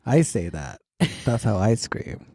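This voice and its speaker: Mellow, unhurried man, teasing. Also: on the low side, sing-song, dry recording, studio quality. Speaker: podcast co-host. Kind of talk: casual chat.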